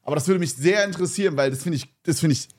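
A young man co-hosting a podcast, chatting in a rambling, excitable, slang-heavy way. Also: on the high side, racing. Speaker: podcast co-host. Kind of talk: casual podcast chat.

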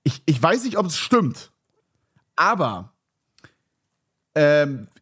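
A young man co-hosting a podcast, chatting in a rambling, excitable, slang-heavy way. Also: a little high, slow pace, slightly rough. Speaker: podcast co-host. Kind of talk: casual podcast chat.

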